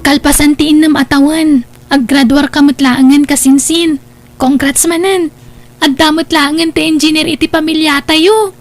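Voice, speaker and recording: A woman voices a cheerful young cousin with a high voice. She chats in a friendly, upbeat way in a family radio drama. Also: steady tone, moderate pace, faint music behind. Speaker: voice actor.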